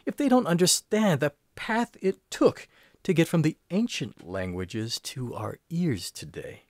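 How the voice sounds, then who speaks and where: A man's voice: a little high, big pitch swings, a little slow, no echo. Sitcom role: man playing indignant middle-aged teacher, pompous, hugely swooping delivery, dry close sound. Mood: lofty, defensive. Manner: pompous, wordy, theatrical. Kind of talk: sitcom acting.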